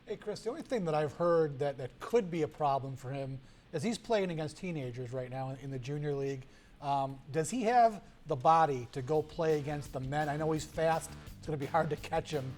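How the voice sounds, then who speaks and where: A man with a somewhat high-pitched voice asks questions on a sports talk show. His delivery is conversational. Music plays quietly under his voice. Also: a little fast. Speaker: sports talk host, interviewer.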